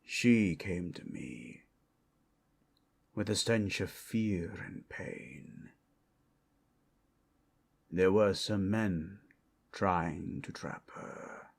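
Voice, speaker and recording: Guy doing an old solemn dragon for asmr roleplay, low husky voice, grave and protective, long dramatic pauses. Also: expressive, heavily acted. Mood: brooding, bitter. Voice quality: husky, breathy. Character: ancient solemn male dragon, fiercely protective hoard guardian.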